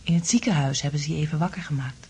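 An actress in a Dutch radio play playing a caring, calm woman with a breathy, husky voice. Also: low voice, fast, heavily acted. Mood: concerned. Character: caring, calm woman soothing a troubled man.

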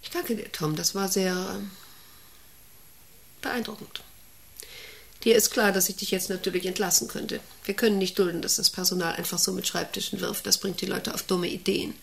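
An actress in a satirical radio play playing an eccentric, domineering, volatile company director, theatrical and commanding. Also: female voice, mid-range voice, normal speed, vocal fry, natural voice. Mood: cool, controlled. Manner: commanding, theatrical, swinging from hushed menace to excited orders. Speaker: radio drama actor.

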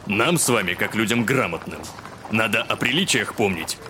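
An actor playing a pompous, fussy educated gentleman in an audio drama. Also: fairly low pitch, lively intonation, normal speed, noisy background, lightly acted. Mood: disdainful. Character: haughty, irritable educated liberal gentleman landowner.

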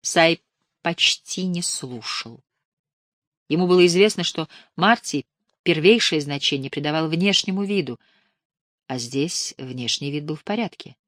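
A woman reads an audiobook in a measured, expressive way. Her voice sits on the low side and is captured close on a studio mic. The sound is completely dry, with no room at all. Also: dry recording. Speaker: audiobook narrator. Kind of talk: scripted audiobook narration.

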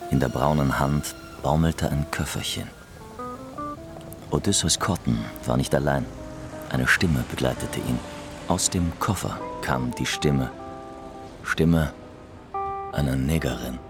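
A man narrating a literary radio play in a measured, storytelling style. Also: extremely low pitch, over music.

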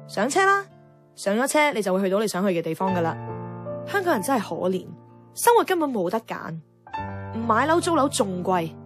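An actress playing a frustrated, outspoken young radio worker in a Cantonese radio drama, with a Hong Kong accent and wry, expressive, colloquial delivery. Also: female voice, a little high. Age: young adult. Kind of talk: radio drama acting with inner-monologue narration. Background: background music.

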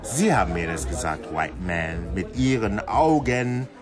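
A man's voice: low voice, lively intonation, measured pace, over music. A man playing a sly, mocking Nigerian fixer in a radio play, with a taunting, insinuating way of talking.

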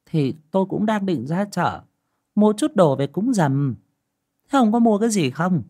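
Male storyteller playing a hardworking middle-aged wife, raised brisk voice. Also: lively intonation, mellow, slightly rough, exaggerated voice. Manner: brisk, practical, raised pitch. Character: hardworking village wife near fifty, patient.

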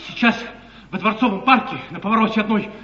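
Agitated young lover, bright, high-voiced. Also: male voice, fast talker. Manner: breathless, melodramatic, urgent. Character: ardent, agitated young gentleman lover, impulsive. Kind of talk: classical stage comedy acting. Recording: distant, echoey, muffled 1950s theatre broadcast.

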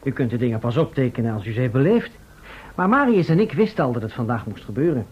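Husky, lilting radio-play time traveller. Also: male voice, mid-range voice, big pitch swings, fast. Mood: matter-of-fact. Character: matter-of-fact male time traveller from the far future. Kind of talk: old radio drama acting. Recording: muffled old radio drama recording.

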